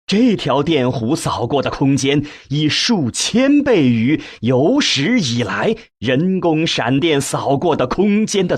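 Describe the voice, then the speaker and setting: A man narrating an audiobook in a scripted, dramatic storytelling style with measured, deliberate phrasing. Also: medium pitch, husky, no room sound.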